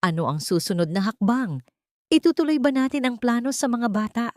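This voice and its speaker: A woman's voice: a little high, animated, fairly quick, darkish tone, no echo, studio quality, pitched above the performer's own voice, lightly acted. A woman voicing a mysterious male henchman, businesslike and conspiratorial, reporting to a boss in a radio drama. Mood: urgent.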